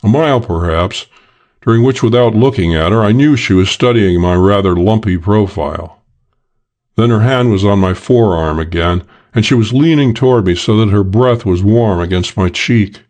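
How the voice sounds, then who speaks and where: A man's voice: on the low side, normal speed, darkish tone. A man narrating a scripted first-person crime story as a hard-boiled, unsentimental detective. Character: hard-boiled middle-aged male detective, gruff and unsentimental. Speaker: audiobook narrator.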